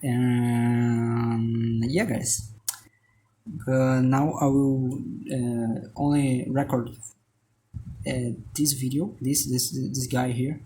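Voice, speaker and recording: A man's voice: medium pitch, level tone, very slow, dark tone. A Brazilian man, a native Portuguese speaker with a Brazilian accent, rambling through a casual voice-over as he sketches, full of restarts and fillers, now and then joking at his own expense. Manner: joking, self-deprecating. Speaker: artist and channel host.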